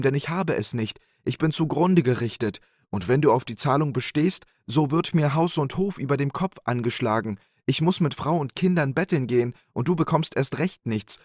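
A man's voice: mid-range voice, darkish tone, dry recording, studio quality, pitched above the performer's own voice, close to an everyday voice. A man voicing a scheming, boastful male innkeeper in a storybook tale, speaking in an agitated, pleading way.

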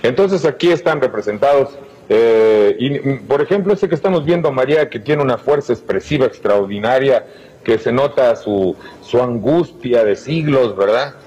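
A man's voice: mid-range voice, lively intonation, moderate pace, a little mellow, husky, dull audio. An older man hosting a TV interview. He asks probing questions and often interjects. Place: indoor art gallery room, seated.

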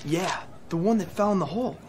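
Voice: medium pitch, brisk, noisy background, lightly acted. A performer in a radio drama playing an eager, chatty street-corner newspaper boy with slangy delivery.

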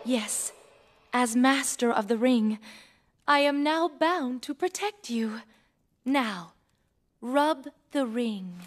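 A woman's voice: a little high, lively intonation, slow pace, long pauses. A woman voicing an earnest, formal young female genie in a game cutscene. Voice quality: earnest, formal, a little fussy. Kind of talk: video game cutscene voice acting. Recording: loud music bed.

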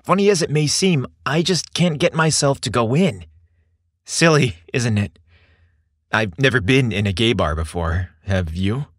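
A man voicing a nervous, hopeful young man who speaks softly and earnestly. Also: mid-range voice, animated, measured pace, dry recording, clean studio sound. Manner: soft, hesitant and earnest.

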